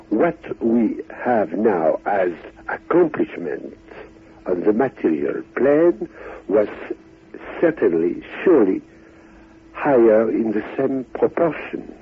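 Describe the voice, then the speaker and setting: Very husky, slow Frenchman on old radio. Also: mid-range voice. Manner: halting, deliberate, in short phrases. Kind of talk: unscripted expository talk. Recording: tinny, band-limited radio recording.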